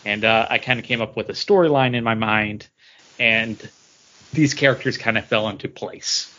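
A man talking casually as a podcast co-host, rephrasing haltingly as he goes. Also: on the low side, bright, forceful tone. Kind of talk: casual chat about comic books. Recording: dull, lo-fi podcast audio.

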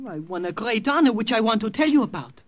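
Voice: medium pitch, sing-song, fast talker, natural voice. An actor playing a zealous, suspicious thirteen-year-old boy, proud and earnest in a radio drama. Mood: proud, eager. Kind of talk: 1950s radio drama acting. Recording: old-time radio recording.

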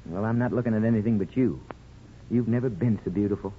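An actor playing an earnest, devoted small-town husband in 1950s radio drama, speaking sincerely and a little haltingly. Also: male voice, on the low side, slight background noise, natural voice. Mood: affectionate. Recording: muffled 1950s radio recording, faint music.

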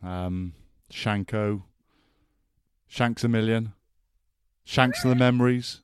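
A man's voice: lowish voice, slow, clean tone, no room sound, clean studio sound. A man with a Welsh accent, co-hosting a podcast in casual, joking banter. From Wales. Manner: joking banter, laughs often. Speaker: podcast co-host. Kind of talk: casual chat.

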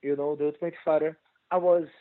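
A Brazilian man with a Portuguese accent, a guest speaking halting non-native English with many restarts and "you know". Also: on the high side, flat delivery, no room sound, phone-quality sound. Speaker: guest fighter. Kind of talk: casual interview chat.

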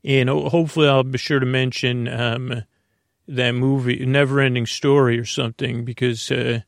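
A male podcast host with a very creaky voice, recorded close and dry. He rambles in a bedtime-intro style, halting, restarting and drifting into tangents. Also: medium pitch, level tone, no echo. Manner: meandering, halting, stammering, tangential.

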